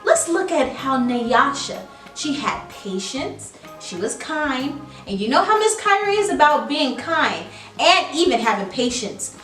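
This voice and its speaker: A woman hosting storytime for children, reading and commenting in an expressive, emphatic, animated way. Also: high voice, a little slow, few pauses, soft background music.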